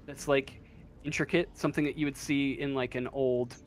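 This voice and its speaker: A man improvising narration as a tabletop game master, halting as he searches for words. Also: mid-range voice, steady tone. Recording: faint room noise.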